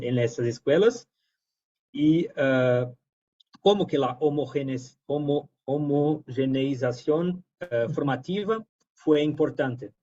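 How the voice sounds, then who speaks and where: A man's voice: mid-range voice, dark tone, highs cut off. A man from Brazil with a Brazilian Portuguese accent, whose first language is Portuguese, giving an academic talk as a guest lecturer, hesitant, with many fillers and restarts. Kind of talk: academic presentation.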